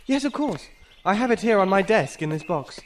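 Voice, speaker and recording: An anxious, trusting rich gentleman , acted by a man, high-voiced and given to swooping melodrama. He frets and exclaims in old-fashioned phrases, in an audio drama with noise and birdsong behind him. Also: expressive, brisk. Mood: fretful, wistful.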